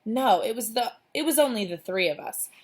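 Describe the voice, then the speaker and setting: A woman's voice: a little high, animated, moderate pace, husky, pitched above the performer's own voice. A young girl visiting a friend in a children's story, played by a woman reading aloud. She sounds unbothered and matter-of-fact, answering in an offhand way.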